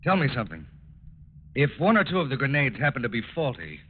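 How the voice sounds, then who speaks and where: A man's voice: medium pitch, very animated, fast talker, natural voice. Man acting a polite probing agent, tinny recording. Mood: polite, suspicious. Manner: understated, polite, persistent. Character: courteous, quietly probing male FBI special agent. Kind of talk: 1940s radio drama acting. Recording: tinny, noisy old radio recording.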